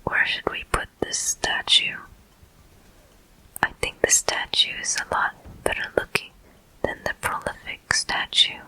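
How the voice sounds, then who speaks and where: A whispering game host giving soft, deliberate ASMR commentary with frequent pauses, close to the microphone. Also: rough recording. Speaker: host of gameplay walkthrough.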